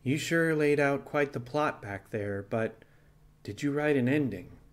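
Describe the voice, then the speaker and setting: A man voicing a frank, thoughtful animal pirate captain with a level, folksy and deliberate way of talking. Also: medium pitch, pitched above the performer's own voice, close to an everyday voice.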